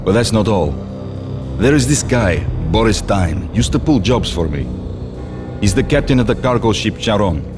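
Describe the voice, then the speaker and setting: A showy, good-humored mob gunrunner from a crime game. His voice sits fairly low and he pitches deals with playful persuasion, music playing underneath. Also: big pitch swings, moderate pace. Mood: businesslike, persuasive. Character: jovial, theatrical gangster arms dealer with old-time honor. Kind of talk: dramatic video game acting.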